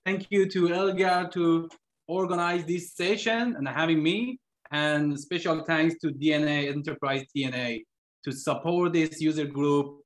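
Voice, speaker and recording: A man from Iran giving an earnest, slightly halting presentation to an online meetup over a video call. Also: on the high side, a bit bright, rough recording. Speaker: guest speaker, trainer.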